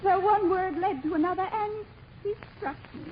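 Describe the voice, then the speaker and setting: An actress playing a melodramatic prisoner's wife in an old radio comedy, in a theatrical manner. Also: female voice, very high voice, moderate pace. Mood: tearful. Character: tearful, melodramatic wife of a prisoner, quick to scold. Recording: muffled, faintly noisy old radio recording.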